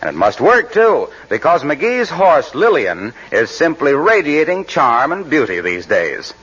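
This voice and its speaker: A man's voice: on the high side, wildly swooping pitch, a little slow, fairly bright tone, breathy edge. A male announcer reading a scripted introduction in the style of 1940s radio comedy. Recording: muffled old radio recording with faint audience.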